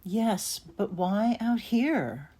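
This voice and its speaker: A woman's voice: medium pitch, expressive, extremely slow, dark tone. An actress playing a concerned mother in an audio play, questioning in a probing, worried manner. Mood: puzzled.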